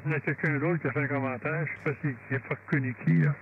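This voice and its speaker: A man with a Quebec French accent chatting casually and haltingly over amateur radio about technical matters. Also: fairly high pitch, sing-song. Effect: radio transmission. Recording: thin narrowband radio link, clear static noise.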